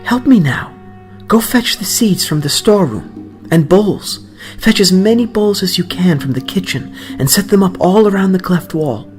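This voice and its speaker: Storybook grandmother, male reader: older woman, high-pitched, lively swings, amused, gives orders with relish. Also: moderate pace, soft background music. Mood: brisk, gleeful. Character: spirited older grandmother, eager, commanding, amused.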